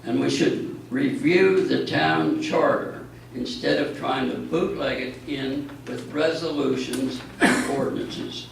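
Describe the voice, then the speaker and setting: A man addressing a town board at a public meeting, making measured, pointed remarks. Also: medium pitch, gravelly. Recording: echoey meeting hall, mic far off, faint noise.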